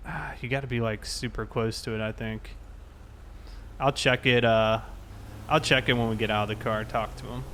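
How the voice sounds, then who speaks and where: A man's voice: medium pitch, steady tone, moderate pace, fairly bright tone, a little hiss or hum. A man in casual police roleplay, talking in a conversational, explanatory way. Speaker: player roleplaying a police officer. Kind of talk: casual roleplay narration and dialogue.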